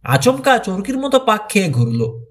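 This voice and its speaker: A man's voice: high voice, big pitch swings, moderate pace. A man reading a mystery thriller audiobook as a dramatic scripted narration.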